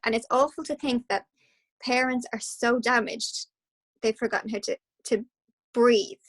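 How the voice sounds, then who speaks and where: An Irish woman speaking as a guest hypnotherapist, passionate and animated, with quick restarts. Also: on the high side. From Ireland. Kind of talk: casual interview talk.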